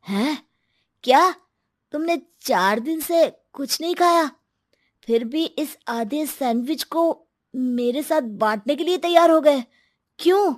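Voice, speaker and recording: A woman's voice: high-pitched, animated, slow, voice pushed up. A woman voicing a selfish, careless young man with a dismissive manner in a moral story. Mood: astonished. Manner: dismissive, self-centred.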